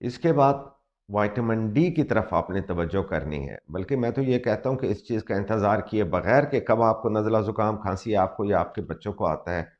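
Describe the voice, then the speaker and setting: Low-voiced doctor with a sore throat. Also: male voice, lively intonation, moderate pace, hardly any pauses, darkish tone. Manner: earnest, explanatory, switches into English for emphasis. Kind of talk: health advice talk to camera. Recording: muffled lo-fi recording.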